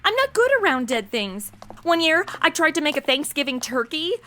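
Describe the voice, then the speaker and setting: Actress as flighty young American cheerleader type, high-pitched, very animated, melodramatic, slangy, audio comedy. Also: female voice, normal speed, slight background noise, full character voice. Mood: uneasy, flippant. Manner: dramatic modern slangy delivery. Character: flighty, self-absorbed young ex-cheerleader makeup blogger, melodramatic. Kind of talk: comedy audio drama acting.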